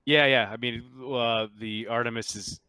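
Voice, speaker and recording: Bright, clear-voiced man running a game. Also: medium pitch, fairly even intonation, moderate pace. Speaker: game master. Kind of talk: casual tabletop game talk.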